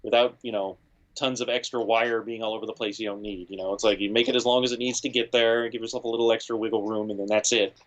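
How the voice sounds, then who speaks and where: A man, a guest audio engineer, giving relaxed advice in an interview and filling his speech with "you know" and "like". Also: on the low side, fast, low-quality recording. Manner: laid-back, lots of "you know" and "like". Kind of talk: casual interview talk.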